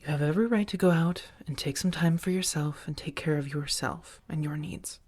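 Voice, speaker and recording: Casting: woman to play protective female vampire roommate, low-pitched, soft and breathy, halting and earnest, intimate ASMR roleplay. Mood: apologetic, earnest. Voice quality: soft, breathy, often dropping to a whisper.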